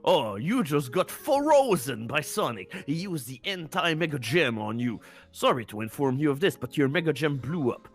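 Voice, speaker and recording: A man's voice: fairly high pitch, sing-song, normal speed, faint music behind. Man putting on a bombastic evil mad doctor villain. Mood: breezy. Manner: theatrical, commanding.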